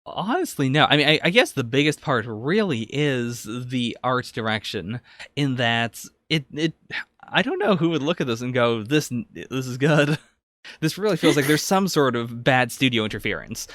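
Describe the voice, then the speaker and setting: A man's voice: mid-range voice, lively intonation, moderate pace, a bit bright, no echo, studio quality. A man co-hosting a podcast, talking casually and conversationally in a review discussion. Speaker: podcast co-host.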